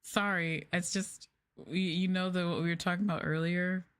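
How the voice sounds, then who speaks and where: A soft-spoken woman streamer chatting casually on an ASMR livestream in an unhurried, hesitant way, close to the microphone. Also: lowish voice, steady tone. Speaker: VTuber streamer, host.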